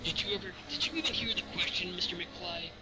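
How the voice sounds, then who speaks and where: A man's voice: high-pitched, quick pace, heavy background noise, voice pushed up, lightly acted. A man voicing a bitter middle-aged science teacher in a reading of a drama script, exasperated and emphatic as he lectures a class. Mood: exasperated, demanding.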